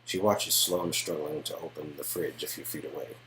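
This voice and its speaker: A man's voice: extremely low pitch, fairly even intonation, moderate pace, fairly bright tone, rough recording. A man reading scripted story narration from an interactive game, recorded with faint background noise. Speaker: narrator and reader of game dialogue.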